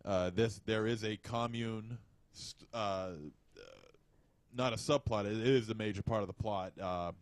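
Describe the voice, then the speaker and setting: A man with a fairly low voice talks casually as a podcast co-host, feeling his way through his points with a halting delivery. The sound is close and dry. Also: steady tone, no echo. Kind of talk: casual movie review chat.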